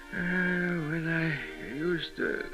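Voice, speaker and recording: An actor playing a wounded Civil War general in a 1930s radio drama, commanding yet gentle, halting and groaning. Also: male voice, fairly high pitch, exaggerated voice. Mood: weak, wistful. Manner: halting, groaning, stern orders. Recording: old 1930s radio recording, faint music.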